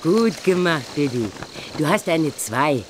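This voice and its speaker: A woman's voice: low voice, big pitch swings, slow, background noise, exaggerated voice. A woman playing an older witch aunt and formal examiner in a children's computer game, theatrical and instructive. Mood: welcoming.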